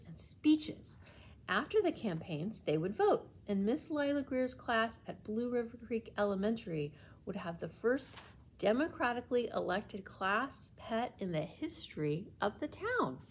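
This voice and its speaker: Woman reading a children's story, some noise. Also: on the high side. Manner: animated, deliberate storytelling with emphasis. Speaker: librarian reading a children's book aloud. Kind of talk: expressive scripted storybook narration for children.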